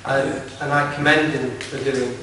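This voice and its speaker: A man with a broad Lancashire accent giving an informal campaign speech at a political meeting, halting and repeating words, with wry asides. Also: medium pitch, flat delivery. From Lancashire, England. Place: large echoey meeting hall. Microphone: distant from the recording device. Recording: echoey hall recorded from far off with noise.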